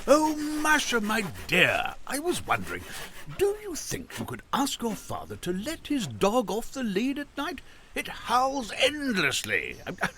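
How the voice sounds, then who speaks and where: An actor playing a fretful older uncle in an audio drama, complaining and animated, with a little stammer and faint recording noise. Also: male voice, high voice, exaggerated voice. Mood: wheedling. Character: fretful, grumbling older uncle, weary of country life. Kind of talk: naturalistic audio drama acting.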